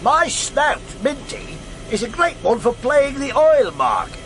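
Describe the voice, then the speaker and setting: An English actor playing a world-weary, gruff middle-aged detective inspector in a radio drama, dry and clipped. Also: male voice, extremely high pitch, big pitch swings, measured pace, fairly bright tone, faint music behind, full character voice. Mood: brisk, musing. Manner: dry, clipped, colloquial copper's talk. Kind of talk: BBC radio drama acting.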